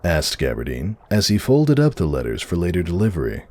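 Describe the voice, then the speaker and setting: A man narrates fiction in a very low register. His delivery moves up and down in a lively way, like a dramatic reading of a story. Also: a little fast.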